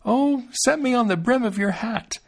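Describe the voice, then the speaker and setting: A man's voice: very high-pitched, animated, moderate pace, creaky, voice pushed up, heavily acted. A man voicing a tiny, clever, confident boy in a storybook reading, chirpy, insistent and full of exclamations. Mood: reassuring, breezy. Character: tiny, clever, confident thumb-sized boy, eager and cheeky.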